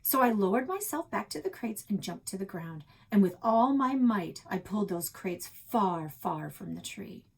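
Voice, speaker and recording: Expressive, slightly husky woman reading a story. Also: medium pitch, moderate pace, few pauses, rough recording. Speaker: library read-aloud reader. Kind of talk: expressive children's book narration.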